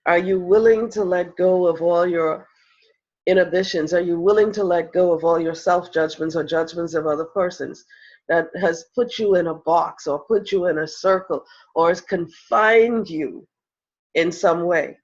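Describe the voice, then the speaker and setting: A man with a head cold and a slightly nasal voice, giving an earnest inspirational spiritual talk full of repeated rhetorical questions. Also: sits high. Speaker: spiritual teacher. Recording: dull lo-fi recording.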